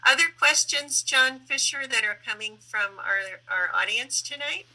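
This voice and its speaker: A woman hosting an online panel in a conversational, deliberate way, with some halting phrasing. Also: high-pitched, bright, forceful tone, rough recording. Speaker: host and moderator of a public online panel.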